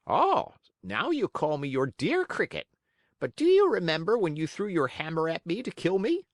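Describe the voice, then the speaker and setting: A man's voice: a little high, sing-song, normal speed, no echo, studio quality, pitched above the performer's own voice. A man voicing a small, wise talking cricket in a storybook reading. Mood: reproachful.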